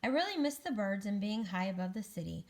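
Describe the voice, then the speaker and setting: Woman reading as an earnest, gravelly storybook egg. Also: mid-range voice, sing-song, brisk, darkish tone. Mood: wistful. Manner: earnest storybook first-person narration. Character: anxious, wistful egg recovering from a great fall. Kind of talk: children's picture book read aloud.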